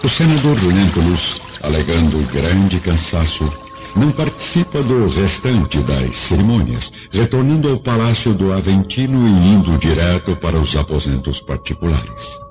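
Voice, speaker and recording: A low-voiced Brazilian man delivers solemn radio drama narration with sweeping, theatrical intonation. Loud music plays behind him in a slightly dull recording. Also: very animated, normal speed.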